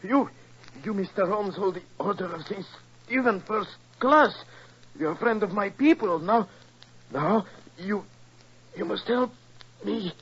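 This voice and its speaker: Political emissary, grand and insistent, high-ish animated voice, muffled old radio drama sound. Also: male voice, a little slow, long pauses, husky, exaggerated voice. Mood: desperate, faltering. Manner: formal, grandiloquent, stilted phrasing. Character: florid, emotional, insistent male foreign political emissary. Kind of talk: 1940s radio acting. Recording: muffled, band-limited old radio recording.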